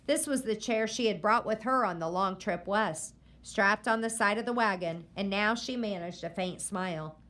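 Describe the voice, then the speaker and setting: A woman reading a novel aloud to listeners, pausing often to ask them questions about the story. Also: mid-range voice, a bit bright. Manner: slow, deliberate, stopping to quiz listeners.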